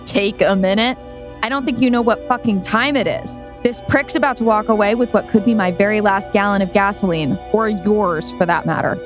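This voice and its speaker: A woman's voice: medium pitch, normal speed, music in the background, close to an everyday voice. Woman acting an aggressive, angry driver. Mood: menacing. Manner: belligerent, confrontational, swearing. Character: foul-mouthed, aggressive, angry male driver with gun. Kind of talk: acted screenplay read-through.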